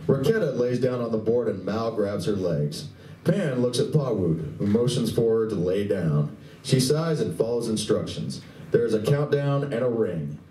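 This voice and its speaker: A man's voice: fairly low pitch, animated, moderate pace, warm tone. A man reading scripted narration for a live radio play in a convention room. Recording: distant, echoey convention room.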